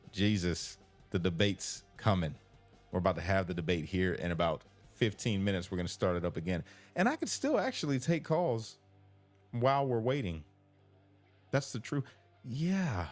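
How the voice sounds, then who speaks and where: A man hosting a live call-in stream, chatting casually, joking and teasing, reading chat comments aloud. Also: low-pitched. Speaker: live show host. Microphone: headphones with computer mic.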